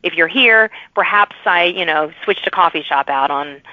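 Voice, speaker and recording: A woman's voice: on the low side, moderate pace, dry recording, phone-quality sound. A woman hosting a podcast, talking in a casual, chatty, informal way with emphatic asides. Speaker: podcast host. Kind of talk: casual interview chat.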